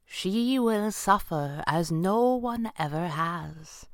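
A man's voice: high voice, animated, slow, no echo, studio quality, pitched above the performer's own voice, heavily acted. Man playing an oily, mock-courteous mercenary villain. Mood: cruel. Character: oily, greedy male villain, smooth-talking, cruel mercenary. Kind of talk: dramatised pulp adventure audiobook.

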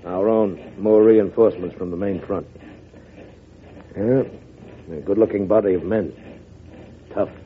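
A man's voice: on the low side, a little slow, pauses often, lightly acted. A man playing a worried, loyal government secretary in a vintage radio drama, earnest, blunt and deferential. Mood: concerned. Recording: narrow-band old radio broadcast.

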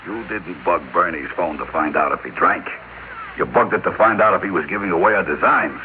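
A man's voice: sits low, fairly quick, a little hiss or hum, close to an everyday voice. Man acting a principled businessman, tinny old radio. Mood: indignant. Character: principled, exasperated businessman, partner in a toy firm. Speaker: radio drama actor and narrator. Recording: tinny old radio broadcast, music behind.

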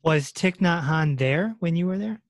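A woman hosting a podcast, interviewing a guest in a relaxed, affirming way and sharing her own stories. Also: on the low side, normal speed, no echo, clean studio sound. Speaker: podcast host. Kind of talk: conversational interview.

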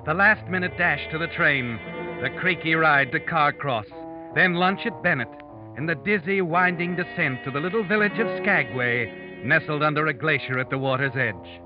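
Man acting as knowing, ominous storyteller, old-time radio drama, second-person narration, slightly high male voice, deliberate, taunting, music behind. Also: lightly acted. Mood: wry, suspenseful. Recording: dull vintage radio recording, music behind.

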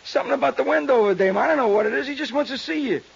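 A man's voice: very high voice, very fast, vocal fry, breathy edge, exaggerated voice. An actor playing a thin, swaggering young henchman with slangy talk in a 1950s radio crime drama. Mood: rattled, submissive. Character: thin, tough-talking young hoodlum, cocky but easily cowed. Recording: hissy, muffled 1950s radio recording.

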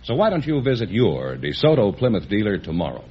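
A man's voice: fairly low pitch, huge pitch swings, fairly quick, slightly rough, muffled recording. A man working as a radio announcer, delivering polished announcements and ad copy on an old noisy recording. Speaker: radio announcer and show sidekick. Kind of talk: polished announcing and scripted ad reading.

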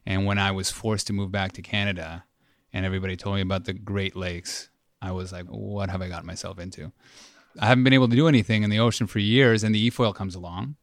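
Enthusiastic low-voiced man from Peru. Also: fairly even intonation, fast, no room sound, studio quality. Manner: enthusiastic storyteller. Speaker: podcast guest. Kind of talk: casual interview chat.

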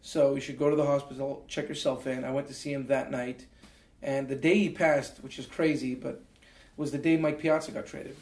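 A man's voice: medium pitch, level tone, normal speed, a little mellow. A Canadian man in his forties, an interview guest telling personal stories in an earnest, reflective way, with many fillers and restarts. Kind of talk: reflective storytelling. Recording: roomy echo.

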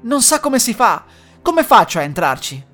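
A woman voicing a brave, clever young girl who speaks in a bright, eager way. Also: on the high side, very animated, normal speed, faint music behind, voice pushed up. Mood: earnest.

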